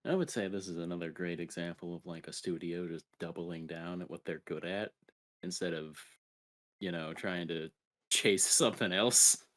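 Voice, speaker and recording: A man's voice: low-pitched, steady tone, moderate pace, a bit bright. A man co-hosting a gaming podcast in casual conversation. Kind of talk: casual video game discussion.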